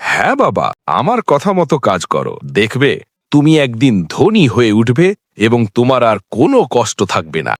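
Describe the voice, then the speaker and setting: A man's voice: medium pitch, huge pitch swings, normal speed, dry recording, clean studio sound. A man voicing a kindly, wise holy man in a cartoon story, speaking in an instructive, fatherly way. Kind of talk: dubbed cartoon morality tale.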